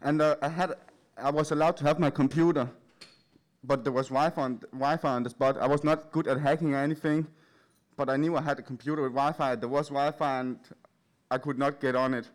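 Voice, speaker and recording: A man from Denmark with a Danish accent, giving an informal, anecdotal talk in a nervous, hesitant, halting and giggly way. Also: a little high, steady tone. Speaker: speaker at a live event. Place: in front of a large live audience.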